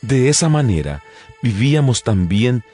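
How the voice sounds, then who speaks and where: A man's voice: mid-range voice, sing-song, a little slow, a little mellow. A man reading scripture as a dramatized audiobook narration, in a solemn, declamatory manner. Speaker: Bible narrator. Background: background music.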